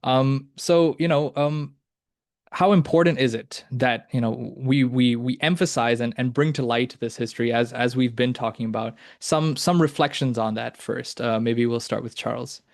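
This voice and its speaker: A young man hosting a podcast, talking in a halting way with many fillers and restarts. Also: medium pitch, dry recording, clean studio sound. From Hong Kong and mainland China. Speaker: podcast host. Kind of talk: casual discussion.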